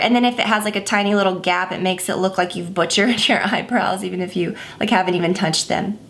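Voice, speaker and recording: A woman giving a casual makeup tutorial, chatty and rambling with many fillers, a bit stuffy and scratchy. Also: mid-range voice. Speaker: makeup vlogger.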